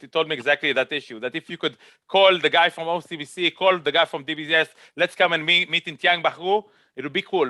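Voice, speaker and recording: A man's voice: on the high side, pushed and bright, no room sound. A man moderating a casual online panel, chatty, with many restarts and fillers.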